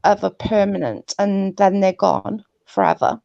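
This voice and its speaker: A woman's voice: mid-range voice, steady tone, unhurried, creaky, mellow, dry recording, lo-fi sound. A woman who stutters, talking earnestly and pleadingly over a video call. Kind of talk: heated casual chat.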